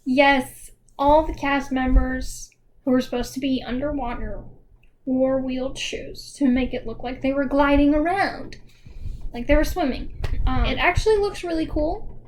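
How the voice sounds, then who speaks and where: A young woman with a high voice talks through a casual video essay. She is chatty and informal, dropping in dry asides. A light layer of noise sits behind her. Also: steady tone, unhurried, low-quality recording. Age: young adult.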